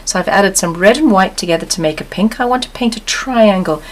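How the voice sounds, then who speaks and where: A woman teaching an acrylic painting step by step, calmly explaining each brushstroke and repeating instructions. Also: mid-range voice, a little fast. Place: studio table, overhead camera on canvas.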